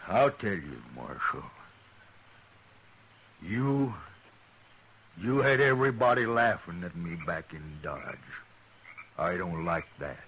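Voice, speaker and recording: A man's voice: low-pitched, big pitch swings, slow, pauses often, fairly bright tone, a little hiss or hum, full character voice. An actor playing a brutal male outlaw on a 1950s radio western, with menacing speech and coarse frontier grammar. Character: brutal vengeful male outlaw, touchy about being laughed at. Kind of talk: 1950s radio drama acting.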